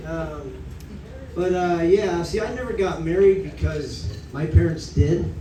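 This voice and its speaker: A man in his sixties doing a stand-up comedy set, rambling and halting, with lots of fillers. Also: fairly high pitch, recorded from a distance. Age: 60s. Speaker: stand-up comic. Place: comedy club stage, audience laughing. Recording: echoey club room recorded from afar with noise.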